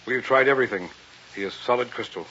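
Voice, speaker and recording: An actor playing a bold, eager male professor, formal and deliberate, in old-time radio drama style. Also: medium pitch, noisy background, close to an everyday voice. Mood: formal, intent. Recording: muffled vintage radio, faint music.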